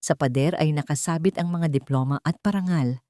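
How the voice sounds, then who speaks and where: A Filipino woman reads a scripted dramatic story. Her voice sits fairly low. The sound is dry, free of room echo. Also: brisk, mellow. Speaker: story narrator. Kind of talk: scripted dramatic audiobook narration.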